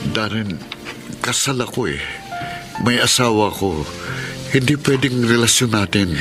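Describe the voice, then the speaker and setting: A man's voice: fairly low pitch, a little slow, natural voice. A man playing a remorseful, unfaithful husband in a Philippine radio drama, earnest and apologetic. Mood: torn, firm. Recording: music under the voice.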